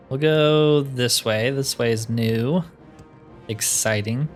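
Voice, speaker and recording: A man's voice: medium pitch, very slow. Male gaming host, mid-range voice, wry and casual, thinking aloud over music. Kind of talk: casual solo video game playthrough commentary. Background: game music.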